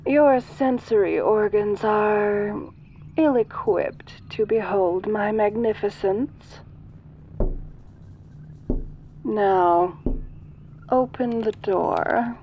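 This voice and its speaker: A woman voicing a sinister, domineering ancient alien in a roleplay, speaking softly with a condescending, seductive manner. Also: medium pitch, lo-fi sound, slight background noise. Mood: haughty, coaxing. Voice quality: soft. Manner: condescending, imperious, slow and teasing. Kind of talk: audio roleplay addressed to the listener.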